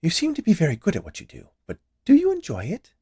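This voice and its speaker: A man's voice: fairly high pitch, huge pitch swings, quick pace, husky, no echo, clean studio sound, voice pushed up, full character voice. A man voicing a cheerful, chatty large woman who runs a candy shop in a storybook tale. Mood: friendly, probing. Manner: bright, chatty, eager.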